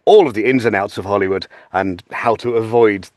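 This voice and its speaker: A British man chatting casually as an actor guest on a panel, with theatrical, halting phrasing. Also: fairly low pitch, darkish tone, dry recording, clean studio sound. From United Kingdom.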